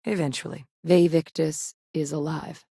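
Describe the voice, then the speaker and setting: Female sci-fi game commander, low voice, earnest, measured, serious, articulate, dry close sound. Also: fairly even intonation, gravelly, dark tone, slightly rough, dry recording, full character voice. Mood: grave, resolute. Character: earnest, composed female military scientist and commander. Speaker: voice actress. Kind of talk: video game voice acting.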